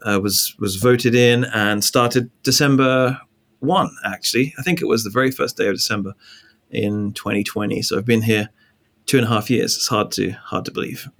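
A man in his forties from England with an English accent, a pastor telling his story in a relaxed interview over a call. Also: fairly low pitch, normal speed. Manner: relaxed storytelling, frequent ums, light laughs. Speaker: pastor, guest. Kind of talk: casual interview talk. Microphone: video call.

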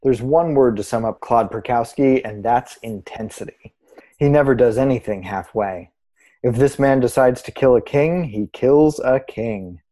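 Man as mellow, deadpan arts radio co-host. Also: mid-range voice, measured pace, close to an everyday voice. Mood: serious. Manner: deadpan, formal. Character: earnest, measured small-town arts radio co-host. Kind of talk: scripted mock-serious radio presenting.

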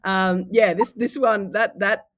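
A woman with a head cold that makes her husky, telling stories animatedly and laughing often in a podcast chat. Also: medium pitch, steady tone, measured pace, no echo, studio quality. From Australia. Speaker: podcast guest.

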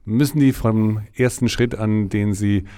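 Ein Mann moderiert im Studio einen Interview-Podcast und liest die Einleitungen geschliffen vom Skript ab. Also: male voice, fairly low pitch, fairly even intonation, measured pace, mellow. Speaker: podcast host. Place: audio studio.

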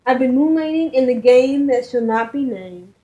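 A woman's voice: high-pitched, expressive, normal speed, mellow, lo-fi sound. A woman co-hosting a gaming podcast, reading listener emails in a cheerful, giggly way. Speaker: podcast co-host. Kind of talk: casual chat, reading listener emails aloud.

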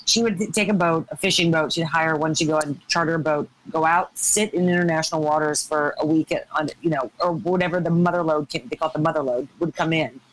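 A woman's voice: low voice, steady tone, fairly quick, few pauses, low-quality recording. A woman in her fifties with an American accent, telling stories casually as a podcast guest. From Ohio, United States. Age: 50s. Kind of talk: casual conversational storytelling.

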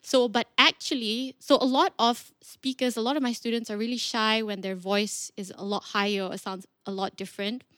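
A woman's voice: on the high side, steady tone, moderate pace, hardly any pauses, fairly bright tone, no echo, studio quality. A woman speaking as a podcast guest and public speaking coach, explaining things in an encouraging, casual way.

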